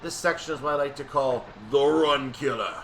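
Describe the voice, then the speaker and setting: A man giving casual commentary on a train simulator, thinking aloud and explaining in an unhurried way. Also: medium pitch, a bit bright. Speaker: gaming video creator. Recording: distant mic, clear background noise.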